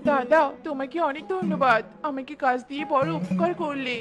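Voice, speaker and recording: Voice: high-pitched, steady tone, brisk, natural voice. A performer voicing a grieving, humble female little bird in a cartoon, with music behind. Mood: humble, pleading.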